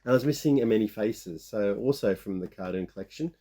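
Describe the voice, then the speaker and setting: A man in his fifties with a croaky sore-throat voice, rambling casually as he shows off his toy finds. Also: lowish voice, a little fast, mellow, a little hiss or hum. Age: 50s. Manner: rambling, many restarts and fillers. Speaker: toy collecting vlogger. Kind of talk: casual show-and-tell of toy haul.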